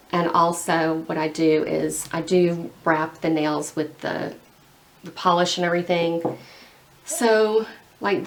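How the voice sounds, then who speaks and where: A woman's voice: deep voice, creaky. A young woman chatting casually while painting her nails, rambling and full of "like". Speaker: beauty vlogger.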